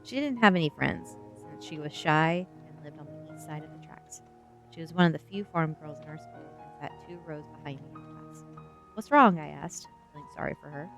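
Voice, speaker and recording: A woman streamer with a soft-spoken, informal manner, reading aloud for a live audience in a casual ASMR style. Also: deep voice, fairly quick, soft background music. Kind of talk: reading a novel aloud on a livestream, with chat asides.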